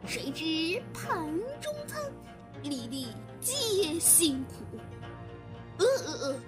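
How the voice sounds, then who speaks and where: Woman as a very high-voiced excitable girl. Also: drawn-out words, heavily acted. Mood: earnest. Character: naive, excitable, starstruck young girl. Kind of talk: comic audio drama acting. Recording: loud music behind the voice.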